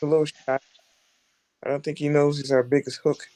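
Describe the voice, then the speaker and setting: A man playing a knowledgeable, showman-like park tour narrator in a script table read, with a measured, presentational delivery. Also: on the high side, little pitch movement, dark tone, lightly acted. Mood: casual.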